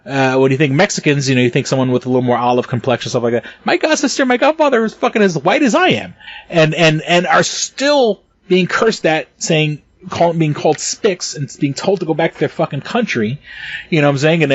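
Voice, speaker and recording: A man on a casual podcast, pitched a little higher than most men, swearing freely as he gets worked up. He trips over his words and restarts, and the sound is dry and dull like a lo-fi home recording. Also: brisk, no room sound. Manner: heated and profane, stumbling restarts, bursts of laughter. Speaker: podcast co-host.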